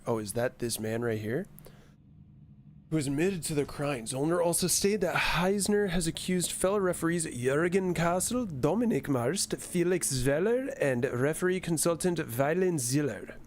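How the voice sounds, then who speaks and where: Higher-pitched man streaming over background noise. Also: expressive, moderate pace. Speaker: streamer playing a horror game. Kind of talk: casual game commentary, reading on-screen news aloud.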